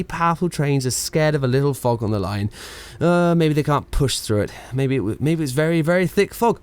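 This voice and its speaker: An actor as a rambling, jokey radio announcer, higher-voiced man. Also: no echo. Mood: mock urgent. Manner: stammering, digressive, cheeky. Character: jokey, rambling, self-mocking 1920s radio station announcer. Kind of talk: radio play, improvised-sounding comic broadcast patter.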